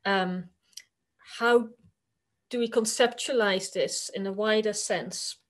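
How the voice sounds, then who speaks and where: A woman's voice: medium pitch, fairly even intonation, slow pace. A woman with a Dutch accent, Dutch her first language, giving an academic conference presentation over a video call. She talks in a conversational, hesitant way, with many fillers.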